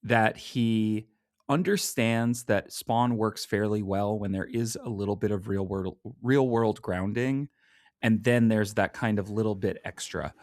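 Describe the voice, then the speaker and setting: A man co-hosting a podcast, chatting casually and thinking aloud in a halting way. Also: on the low side, steady tone, a little mellow, dry recording, studio quality. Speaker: podcast co-host. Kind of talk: casual comic book discussion.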